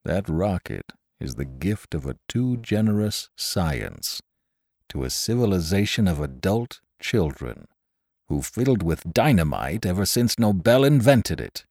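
A weary, melancholy company president in an audio story, played by a male reader. His voice is low and measured, restrained and slow. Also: sing-song, darkish tone, no room sound, clean studio sound, heavily acted. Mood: weary gloom.

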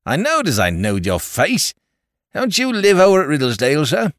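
A man's voice: a little high, huge pitch swings, moderate pace, fairly bright tone, dry recording, clean studio sound, voice pushed up. A man voicing an eager, talkative Cockney pub landlord of about fifty-five, in a London Cockney accent. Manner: chatty, eager, dropped grammar.

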